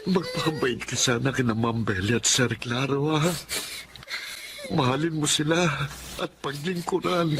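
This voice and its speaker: A man's voice: a little high, measured pace. An actor playing a remorseful, tender father in prison, in a radio drama. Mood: tender pleading. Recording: clear background noise.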